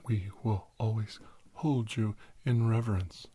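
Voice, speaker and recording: A man's voice: fairly low pitch, steady tone, unhurried, mellow, breathy edge. A man voicing a grave, ceremonious alien-hybrid child who makes prophetic pronouncements in a comic monologue. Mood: solemn, ominous. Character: solemn prophetic alien-hybrid child addressing his earth father.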